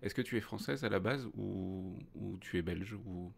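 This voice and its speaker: A man's voice: low-pitched, moderate pace, gravelly. A French man hosting a casual interview, asking questions with many hesitations and restarts. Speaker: host.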